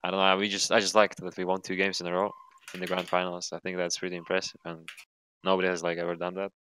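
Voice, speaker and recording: Low, bright young man's voice from Latvia. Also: monotone, quick pace, a little hiss or hum. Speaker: professional esports player. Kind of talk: casual interview chat.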